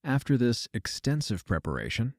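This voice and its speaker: A man's voice: mid-range voice, very animated, moderate pace, no echo. A man playing a wry, self-deprecating computer scientist, giving a dry, confessional monologue in an audio drama. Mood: dry, reflective. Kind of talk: first-person fiction podcast monologue.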